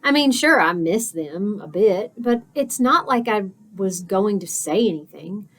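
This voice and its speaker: A woman reading a picture book aloud like a teacher, with expressive narration, dramatic pauses and stretched-out key words. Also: mid-range voice.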